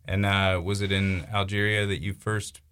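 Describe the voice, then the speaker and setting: Male radio host, lowish voice, steady, friendly interviewer. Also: moderate pace, smooth.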